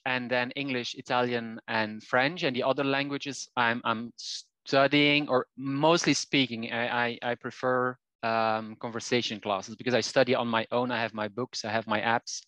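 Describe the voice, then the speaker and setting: A man's voice: mid-range voice, fairly even intonation, unhurried, pushed and bright, clear, resonant tone, dry recording, rough recording. A Belgian man in his forties whose first language is Flemish Dutch, a language teacher speaking as a guest on a video call, often restarting and repeating words. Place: small home room with shelves. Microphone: headset on video call.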